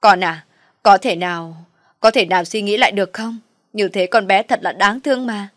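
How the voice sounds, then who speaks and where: A woman's voice: mid-range voice, normal speed, no room sound, clean studio sound, lightly acted. A woman voicing an anxious, imploring middle-aged mother in an audio story. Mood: pleading.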